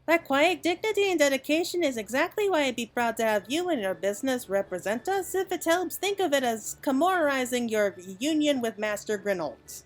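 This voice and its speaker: A woman's voice: high voice, expressive, brisk, few pauses, voice pushed up. Woman voicing an upbeat, folksy fantasy craftswoman. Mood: enthusiastic.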